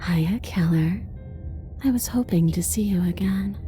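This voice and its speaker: A woman voicing a ghostly princess who speaks in a breathy whisper, with music behind her. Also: mid-range voice, measured pace, warm tone, natural voice. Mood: menacing. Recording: game audio with music behind.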